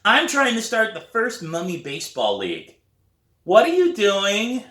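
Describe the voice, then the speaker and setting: A man voicing an excitable, insistent young boy, a pestering little brother in a storybook reading. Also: high-pitched, measured pace, reverberant, voice pushed up, full character voice. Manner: loud, insistent, playful.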